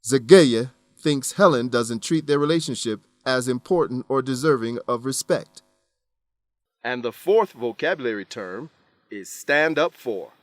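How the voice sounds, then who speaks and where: A man reading scripted educational narration for an English lesson, enunciating clearly in an instructional manner. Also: medium pitch, expressive, a little slow, dry recording. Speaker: narrator of an English-teaching radio program.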